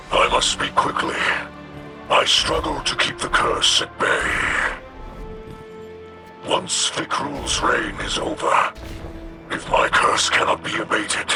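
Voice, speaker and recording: Slow gravelly alien warlord. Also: male voice, mid-range voice. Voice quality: raspy, growling, breathy creature voice. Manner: theatrical, slow, grandiose. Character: cursed alien warlord father, menacing, struggling for control. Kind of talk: dramatic video game acting. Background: loud dramatic music.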